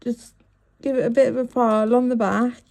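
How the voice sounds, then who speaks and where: A woman's voice: on the high side, fairly even intonation, moderate pace, vocal fry, darkish tone. A woman, sniffly with a cold, talking through each step of making a bracelet in a chatty, apologetic way. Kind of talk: crafting tutorial.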